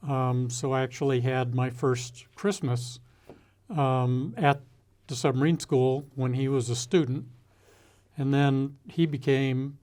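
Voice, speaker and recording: Older man, American accent, medium-pitched voice, reflective, halting phrases, deliberate pauses, interview guest. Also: a little mellow. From United States. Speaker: interview guest, retired executive and former naval officer.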